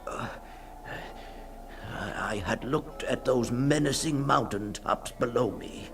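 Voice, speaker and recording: A man's voice: medium pitch, slow pace. An actor playing a terrified man reliving a nightmare in dramatic first-person radio storytelling, anguished and stammering. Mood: frightened, strained. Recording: 1960s radio drama with faint music.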